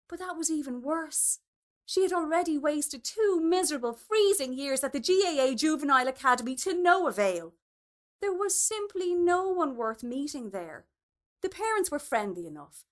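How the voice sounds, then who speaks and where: Animated, high-voiced woman reading a story. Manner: expressive, acts out dialogue. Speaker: short story reader. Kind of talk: scripted narration of a literary short story.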